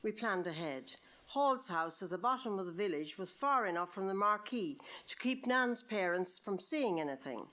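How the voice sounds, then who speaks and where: A woman's voice: medium pitch, expressive, moderate pace, few pauses, a bit bright. A woman with an Irish accent reads her own short story expressively to a live event, as a storyteller would. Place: live reading event at an arts venue.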